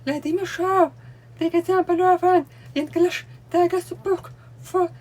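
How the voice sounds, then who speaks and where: Very high-voiced Russian-accented woman, slow. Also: fairly even intonation, a little mellow. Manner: deliberate, speaks while signing. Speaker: language learning vlogger. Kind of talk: explaining while showing books. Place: home room with bookcase, indoors.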